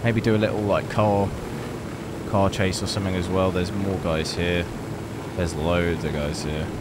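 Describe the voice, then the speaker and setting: A man with a hoarse, lost voice, hosting a PC gaming channel and commenting casually while he plays, thinking aloud. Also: low voice, moderate pace, heavy background noise. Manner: relaxed, rambling, thinking aloud. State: hoarse, losing his voice. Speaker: PC gaming benchmark channel host.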